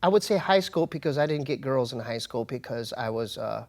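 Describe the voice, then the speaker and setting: An American man telling a personal story as an interview guest, in a casual, slangy and halting but emphatic way. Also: medium pitch, expressive, a little mellow. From United States. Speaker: interview guest, comedian and hip-hop artist.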